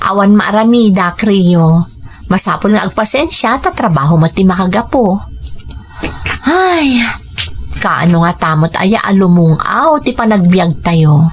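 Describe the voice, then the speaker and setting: A woman's voice: mid-range voice, expressive, measured pace, lightly acted. A woman playing an ambitious young hotel receptionist who longs for more, in an audio drama with faint noise. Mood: wistful, ambitious. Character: ambitious, discontented young female hotel receptionist dreaming of riches. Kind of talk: Ilocano audio drama acting.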